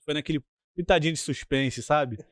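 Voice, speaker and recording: Cheerful Brazilian male co-host, higher voice, dry close sound, eager and jokey. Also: fairly quick, dry recording. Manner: enthusiastic, laughing, teasing. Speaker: podcast co-host. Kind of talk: casual chat.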